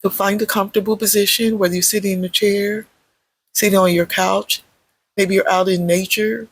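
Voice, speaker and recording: A meditation guide with a husky, creaky voice, speaking gently in short, measured phrases with pauses between them. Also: mid-range voice, flat delivery, low-quality recording. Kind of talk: guided meditation, unscripted spoken guidance.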